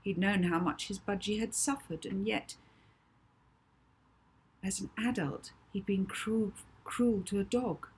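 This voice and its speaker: A woman author reading her children's novel aloud with dramatic, deliberate emphasis. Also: mid-range voice, a little mellow, a little hiss or hum. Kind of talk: expressive children's audiobook narration.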